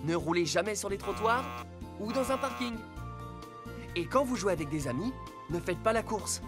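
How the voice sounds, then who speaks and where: Woman voicing a patient robot car, mid pitch, lecturing calmly, clear music behind. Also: moderate pace, natural voice. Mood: instructive. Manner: patient, instructive safety lecture. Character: calm caring rescue robot car teacher. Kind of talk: dubbed cartoon voice acting.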